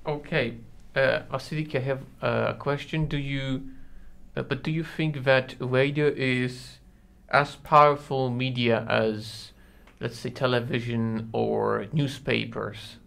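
A man in his twenties with a noticeably creaky voice of medium pitch. He puts polite questions as an interviewer, speaking into a close studio mic. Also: fairly even intonation, measured pace, a bit bright. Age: 20s. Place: acoustically padded radio studio. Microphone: close broadcast mic.

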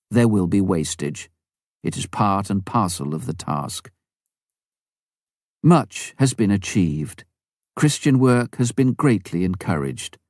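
A man with a very low voice reads a memoir as an audiobook, measured and earnest. The recording is close and dry, with no room sound. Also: a little mellow, no echo. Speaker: audiobook reader. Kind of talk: first-person memoir narration.

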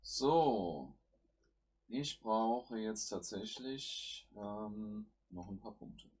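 Male gameplay host, has a cold, very low and husky voice, talks through each move at home. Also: animated, extremely slow, a little mellow. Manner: thinks aloud while playing, with fillers. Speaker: gaming channel host. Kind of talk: casual gameplay commentary.

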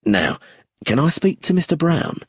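A man voicing a calm, blunt police chief inspector in an audiobook, low, steady and abrupt. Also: a little slow, breathy edge, dry recording, clean studio sound, exaggerated voice. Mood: firm.

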